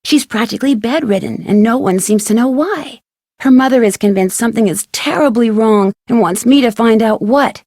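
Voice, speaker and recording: A woman's voice: on the high side, normal speed, darkish tone, no echo, clean studio sound, natural voice. A woman with an American accent playing a plucky, curious teenage girl detective, narrating a letter in a video game. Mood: wry, intrigued.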